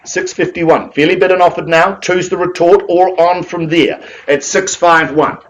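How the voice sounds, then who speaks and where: Male auctioneer, New Zealand accent, higher-pitched, booming, rhythmic bid-calling patter. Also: fairly even intonation, normal speed, highs cut off. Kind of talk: live property auction calling. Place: auction room with bidders present.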